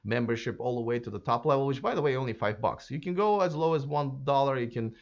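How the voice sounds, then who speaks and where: A hoarse man hosting his own channel, chatting casually and speculating aloud, with many fillers and restarts. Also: medium pitch, quick pace, highs cut off. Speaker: YouTube electric car channel host. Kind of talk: casual solo commentary to camera.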